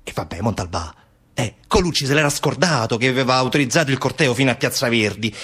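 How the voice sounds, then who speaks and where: A man's voice: medium pitch, lively intonation, fast talker, fairly bright tone, breathy edge, pitched below the performer's own voice. An actor playing a chatty, sarcastic man in a satirical stage monologue, colloquial and falsely reassuring as he excuses things.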